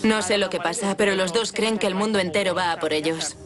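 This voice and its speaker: A woman's voice: mid-range voice, brisk, close to an everyday voice. A woman dubbing a former kitchen assistant, speaking candidly about her old job. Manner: candid, complaining. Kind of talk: dubbed reality TV interview. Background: background music.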